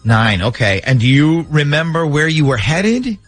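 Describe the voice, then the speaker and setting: A man's voice: a little high, big pitch swings, moderate pace, soft background music. A man hosting a morning radio show, talking through a prank call segment.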